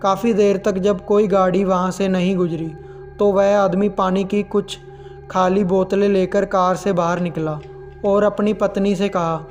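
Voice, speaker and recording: High-pitched, even-toned male horror storyteller. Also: measured pace, soft background music. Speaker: horror story narrator and channel host. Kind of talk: scripted narration of horror stories.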